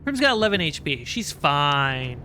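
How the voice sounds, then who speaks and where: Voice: medium pitch, very animated, a little slow, pushed and bright, a little hiss or hum. A game master narrating tabletop fantasy combat and casually talking through rules. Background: background music.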